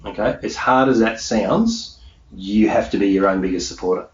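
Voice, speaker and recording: A man's voice: lowish voice, normal speed. A middle-aged man answering interview questions as a motivational coach, emphatic and self-assured, repeating key phrases for effect. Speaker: peak performance hypnosis coach being interviewed. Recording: echoey room, distant mic, dull sound, faint noise.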